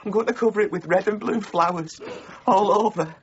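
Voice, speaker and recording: A man's voice: high voice, brisk, slight background noise. A man playing a shy, lovesick young village blacksmith who pleads earnestly with the girl he loves in a radio drama. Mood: pleading. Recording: dull lo-fi 1990s broadcast.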